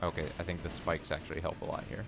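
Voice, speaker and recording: A man with a very low, deep voice talks his way through a video game stream in a loose, chatty way. He gets excited over new gear and reads item text aloud as he goes, with faint game music under him. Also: quick pace, creaky. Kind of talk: casual gameplay commentary.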